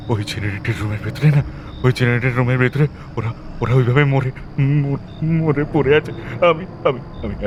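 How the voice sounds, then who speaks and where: A man's voice: mid-range voice, background noise, close to an everyday voice. A man acting a middle-aged, ruthless tycoon in an audio thriller, broken and pleading, stammering and repeating himself in desperation. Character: ruthless tycoon, broken, panicked and pleading before his accuser.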